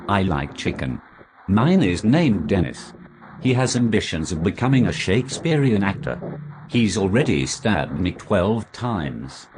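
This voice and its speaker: Deep-voiced deadpan man, noisy recording. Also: measured pace. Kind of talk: deadpan absurd scripted monologue.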